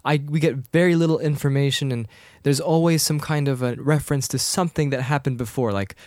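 A man casually discussing a movie, rambling and animated, breaking into high voices to quote lines. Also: a little fast, dry recording, clean studio sound.

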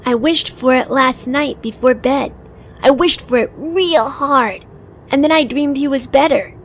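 A woman's voice: high voice, measured pace, slight background noise. A woman playing an earnest, innocent seven-year-old boy in an audio drama. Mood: confident, sincere. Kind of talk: audio drama acting and first-person narration.

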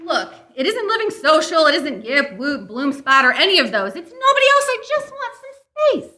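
A woman acting as a fed-up, scornful girlfriend breaks up in a radio comedy sketch. The voice is high and bright, with wide, emphatic swings of sarcasm. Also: very animated, moderate pace, heavily acted. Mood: exasperated. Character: exasperated, sarcastic woman dumping a clingy ex. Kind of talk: live old-time radio comedy sketch.

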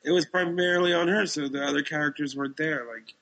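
A man's voice: on the high side, fairly even intonation, fast talker, a bit bright, rough recording. A man co-hosting a podcast, giving blunt opinions in casual conversation. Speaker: podcast co-host.

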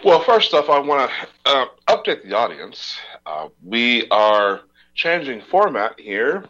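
A man hosting a podcast, speaking haltingly with many uhs. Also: medium pitch, big pitch swings, pushed and bright. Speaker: podcast host. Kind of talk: casual podcast announcements. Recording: dull lo-fi audio.

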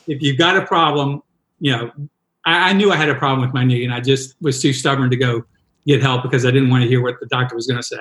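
A man's voice: medium pitch, steady tone, quick pace, a bit bright, echoey room, low-quality recording. A man in his sixties, a triathlon coach, telling a self-deprecating story with many restarts in a podcast interview. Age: 60s.

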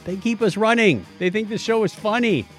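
A man's voice: high-pitched, expressive, fairly quick, faint music behind. A man hosting a podcast in casual chat, with jokey, informal asides. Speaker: podcast host.

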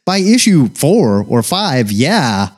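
A man's voice: medium pitch, very animated, slow. An American man in his forties chatting casually as a podcast co-host, with an emphatic, informal way of talking. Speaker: podcast co-host reviewing comics. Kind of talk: casual chat.

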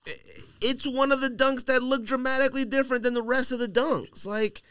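A man's voice: extremely high pitch, steady tone, a little fast, fairly bright tone, clean tone, dry recording, clean studio sound. A man hosting a casual podcast, speaking in an emphatic, insistent and slangy way with strong opinions. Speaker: podcast host.

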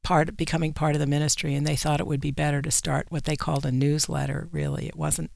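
A woman's voice: deep voice, flat delivery, fast, creaky, darkish tone, no room sound. An older woman talking conversationally as an editor being interviewed on a radio program. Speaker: magazine editor, interview guest.

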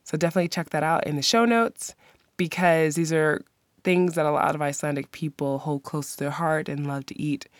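A woman's voice: sits low, steady tone, brisk, no echo, studio quality. A woman hosting a podcast, giving friendly, practical travel advice. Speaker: podcast host. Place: lives in the country she describes.

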